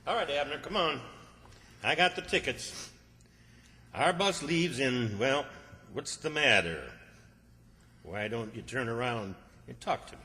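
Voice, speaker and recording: A man's voice: medium pitch, animated, unhurried, long pauses, pushed and bright. A man acting a bossy, exasperated country fellow, scolding and commanding in an old-time radio comedy. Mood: suspicious. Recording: hall reverb, distant stage sound.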